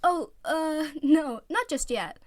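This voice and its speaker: A woman's voice: high-pitched, animated, takes it slowly, a little mellow, no room sound, clean studio sound, pitched above the performer's own voice. A woman voicing a whiny, dramatic and playfully scheming teenage boy team captain in a story reading. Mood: nervous.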